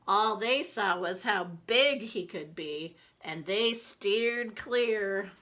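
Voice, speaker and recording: A woman reading a children's picture book aloud like a teacher, expressively, with deliberate dramatic emphasis. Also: medium pitch, expressive, a bit bright.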